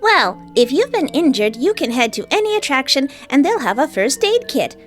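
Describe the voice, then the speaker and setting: A woman's voice: high-pitched, sing-song, normal speed, faint music behind, pitched above the performer's own voice. A woman voicing a cheerful, helpful hotel computer mascot with a chirpy customer-service manner. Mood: chipper.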